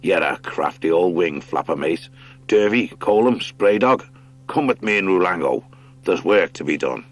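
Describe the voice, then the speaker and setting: A man's voice: low-pitched, lively intonation, moderate pace. A man voicing a good-natured male sea otter skipper in a storybook, jolly, with nautical rustic speech. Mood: brisk, pleased. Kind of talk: audiobook character acting.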